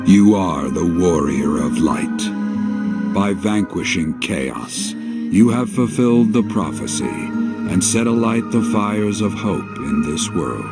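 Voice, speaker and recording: An enigmatic game guide speaks in a low, theatrical voice that swoops widely. His words are archaic and grandiose, knowing and faintly taunting, set over clear background music. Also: expressive, takes it slowly, heavily acted. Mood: portentous. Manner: formal archaic diction, portentous. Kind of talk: dramatic video game cutscene acting.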